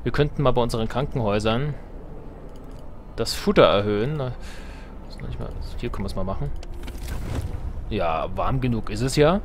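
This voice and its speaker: A man's voice: mid-range voice, moderate pace, pauses often, slight background noise. A man hosting a let's play, commenting casually on the game with self-ironic, playful asides and reading game text aloud. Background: game music.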